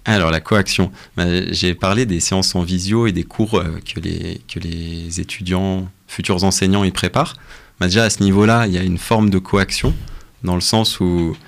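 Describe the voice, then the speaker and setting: A young man speaking as a researcher guest in a casual radio interview, explaining things in a halting way with frequent pauses. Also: sits low, gravelly. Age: young adult.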